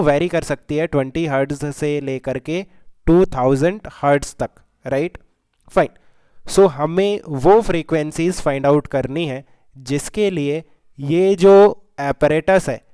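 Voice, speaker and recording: Male tutor, Indian accent, slightly high pitch, clear tone, explanatory delivery with frequent check-in questions. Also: darkish tone, no room sound. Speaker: physics tutor. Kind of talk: step-by-step problem-solving lesson, mixing two languages.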